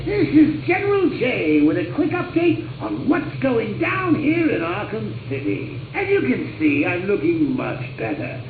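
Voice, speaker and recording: Theatrical clown villain, high, swooping, echoey, far off. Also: male voice, huge pitch swings, unhurried, hardly any pauses, phone-quality sound, over music, full character voice. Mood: showy. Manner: theatrical, taunting showman. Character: gleeful, murderous grown man clown criminal. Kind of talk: video game voice acting.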